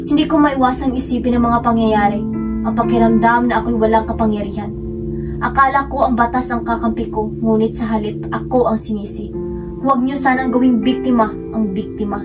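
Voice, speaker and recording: A woman's voice: fairly high pitch, fairly even intonation, fairly quick, natural voice. A woman playing a wounded survivor who gives solemn, pained testimony in a radio drama. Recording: distant mic with music underneath.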